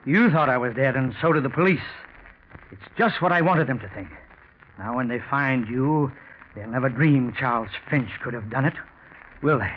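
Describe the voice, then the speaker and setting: A man's voice: medium pitch, expressive, normal speed, close to an everyday voice. An actor playing a middle-aged businessman turned murderer in a 1940s radio drama. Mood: menacing. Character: vengeful middle-aged businessman turned killer, posing as hobo. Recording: muffled, hissy 1940s radio recording.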